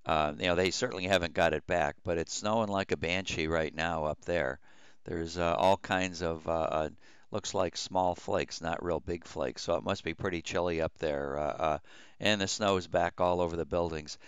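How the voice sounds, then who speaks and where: Low smooth guy, dry sound, chatting loosely on his radio hobby stream, kinda folksy and rambly. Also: fairly even intonation, normal speed, no echo, dull audio. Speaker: amateur radio operator and livestream host. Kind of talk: casual rambling livestream chat.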